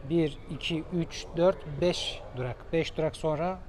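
Guy talking to his camera at a metro ticket machine, kinda high voice, lots of station noise. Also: moderate pace, darkish tone. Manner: chatty, thinking aloud, switching to English with his companion. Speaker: travel vlogger. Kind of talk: casual travel vlog narration. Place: metro station and train, indoors. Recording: noisy public space.

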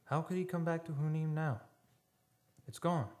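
An actor playing a loyal, solemn friend in a radio play, speaking in formal, poetic phrases. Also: male voice, on the high side, fairly even intonation, normal speed, darkish tone, lightly acted. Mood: wistful, somber. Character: Don, loyal solemn friend, male narrator of a haunting tale. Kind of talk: old-time radio drama acting. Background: music behind the voice.

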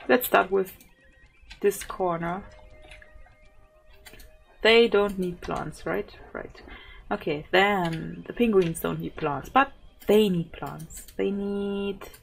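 A woman's voice: medium pitch, vocal fry, slight background noise. A German woman with a sore throat, casually commenting on a zoo game, thinking aloud with fillers and small laughs. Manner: soft-spoken, thinks aloud with many fillers and little laughs. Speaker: gaming channel host.

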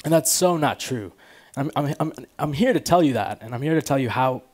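A man's voice: medium pitch, animated, brisk, a little mellow. An American man of about twenty giving an informal, conversational stage talk, casually peppered with "you know" and "like". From Chicago, United States, born in Afghanistan. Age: around 20. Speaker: conference speaker. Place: large auditorium stage with audience. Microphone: headset.

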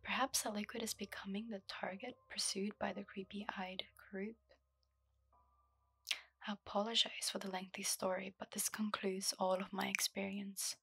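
Husky, gravelly low whisper from a woman. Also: little pitch movement, no room sound. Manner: slow, soft, breathy whisper. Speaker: ASMR host. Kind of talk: whispered ASMR storytelling. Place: dark room lit by a candle.

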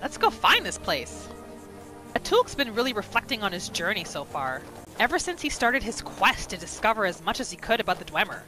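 A woman's voice: mid-range voice, sing-song, fairly quick, sharp tone, rough recording. A woman hosting a gaming video, giving reactive roleplay commentary over gameplay. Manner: reactive, narrates her character in third person. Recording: faint game music behind.

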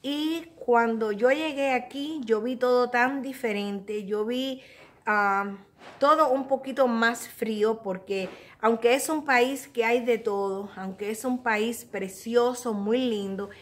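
A middle-aged woman with a Cuban accent speaks in a fairly high voice. She talks casually and earnestly, straight to her viewers, as if confiding and giving advice. It is recorded on a phone held at arm's length in a living room. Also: slow pace. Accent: Cuban Spanish. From Cuba. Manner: earnest, addresses viewers directly, motherly advice. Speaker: vlogger. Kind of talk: casual confessional chat to camera. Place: small living room at home.

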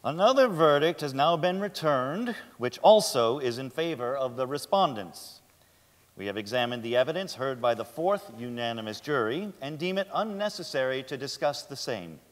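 A man playing a grave, measured supreme court justice in a solemn, formal stage reading. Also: medium pitch, big pitch swings, close to an everyday voice. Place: large theatre stage, indoors. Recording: reverberant theatre hall.

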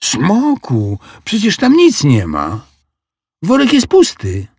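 An eager, quick-witted small girl from a children's tale, as a male narrator puts her on. The voice is lifted high and slightly breathy, swinging up and down with excitable emphasis. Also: dry recording, studio quality, full character voice. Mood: worried, amazed. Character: clever, eager little girl helping a dragon.

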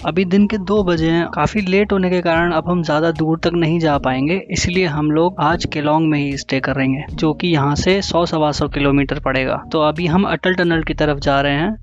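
Male narrator, plain and slightly high, dull lo-fi voice-over. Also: fairly even intonation, moderate pace, few pauses. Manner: plain, matter-of-fact storytelling. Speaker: travel vlogger narrating. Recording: dull lo-fi audio over music.

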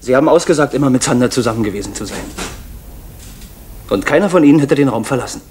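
A man's voice: medium pitch, expressive, quick pace, a little mellow, faint music behind, natural voice. An actor playing a persistent male police interrogator in an East German radio drama, formal and pressing. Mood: probing. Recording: old radio recording, faint noise.

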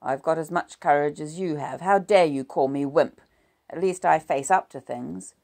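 A woman's voice: on the low side, measured pace, gravelly, darkish tone, voice pushed up. A woman voicing a wounded, bitter husband who growls and turns sarcastic. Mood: tense.

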